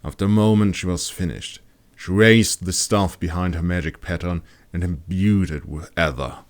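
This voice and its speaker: Very deep-voiced man narrating fantasy. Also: fairly even intonation, moderate pace. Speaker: author and audiobook narrator.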